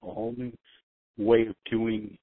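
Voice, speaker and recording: An older man speaks over a narrow, tinny phone connection with very little room sound. His voice sits on the low side. He rambles in a halting way, often doubling back on his words. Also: level tone, dry recording. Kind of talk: rambling conference-call talk. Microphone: phone conference call.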